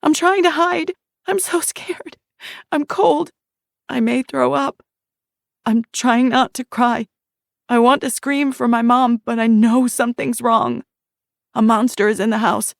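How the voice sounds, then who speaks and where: A frightened young woman's voice, pitched high like the small girl she once was, as a woman narrator puts her on. She recalls in breathless, trembling phrases, with a gravelly edge and a dry, close sound. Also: dry recording.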